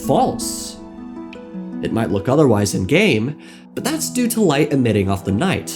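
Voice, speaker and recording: A man's voice: mid-range voice, huge pitch swings, unhurried. A young man narrating a scripted comedic video essay on game lore in a playful, mock-serious manner. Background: background music.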